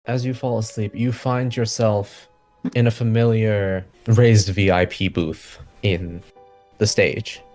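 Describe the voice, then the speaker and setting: Man, game master, lowish pitch, casual scene narration, soft background music. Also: steady tone, takes it slowly.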